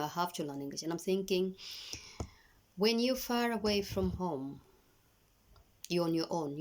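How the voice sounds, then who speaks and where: A middle-aged woman from Tanzania with a Tanzanian accent speaks in a low voice. Swahili is her first language. She offers parenting advice earnestly, often pausing and restarting her sentences. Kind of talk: personal video message.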